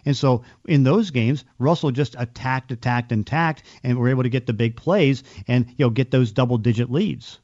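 A man's voice: medium pitch, normal speed, few pauses, a little mellow, dry recording. A man hosting a sports podcast, giving conversational, explanatory football analysis in loosely linked phrases. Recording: slightly dull, dry lo-fi sound.

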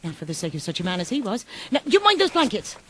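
A woman's voice: medium pitch, huge pitch swings, quick pace, bright, forceful tone, slight background noise. An actress playing a brazen, scornful, callous working-class woman in a radio drama. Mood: gloating scorn. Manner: defiant, scornful, cackling.

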